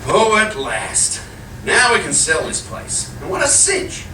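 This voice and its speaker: An actor playing a skeptical, easily flustered young husband in a live radio play, with a theatrical, stammering delivery. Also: male voice, high-pitched, huge pitch swings, a little slow, heavily acted. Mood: relieved, then alarmed. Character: exasperated young skeptical husband selling a house. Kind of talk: live old-time radio play acting. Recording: echoey distant stage recording with noise.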